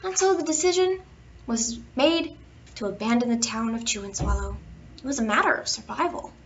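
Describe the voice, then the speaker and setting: Lady reading kids a bedtime book, stretches words out, kinda far from the mic w a bit of background noise. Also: fairly high pitch. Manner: storytelling to children, expressive emphasis and drawn-out words. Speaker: children's bedtime story reader. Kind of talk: reading a picture book aloud with playful asides. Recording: dull, distant home recording with background noise.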